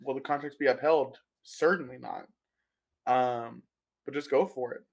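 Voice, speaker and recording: Raspy croaky guy with a sore throat, chatting baseball on a podcast, dry jokes. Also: mid-range voice, steady tone, normal speed. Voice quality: gravelly. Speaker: prospect analyst guest. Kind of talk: casual podcast chat.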